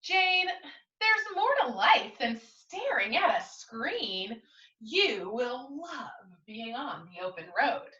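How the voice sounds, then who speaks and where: A woman's voice: high-pitched, big pitch swings, slow, sharp tone, full character voice. A woman voicing a cheerful storybook mother, coaxing her daughter in a sing-song way. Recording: roomy echo, distant mic, slightly dull sound.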